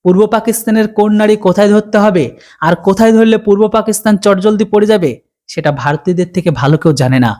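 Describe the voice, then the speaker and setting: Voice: medium pitch, quick pace, dark tone. A channel host from India with an Indian Bengali accent, delivering news commentary.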